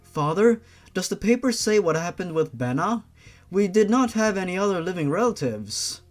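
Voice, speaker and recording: A man as an earnest, high-pitched pleading daughter. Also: big pitch swings, moderate pace, soft background music. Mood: shocked, worried. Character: pleading, earnest young daughter, devoted and protective. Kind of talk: story reading in character.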